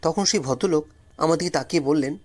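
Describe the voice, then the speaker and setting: Higher-voiced man telling a dramatic story. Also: quick pace, a little mellow. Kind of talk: dramatic first-person story narration.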